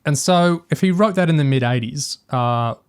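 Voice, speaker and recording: A man's voice: fairly high pitch, normal speed, clean tone. Chatty, analytical man co-hosting a podcast. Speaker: podcast co-host. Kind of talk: casual discussion of audio drama and TV.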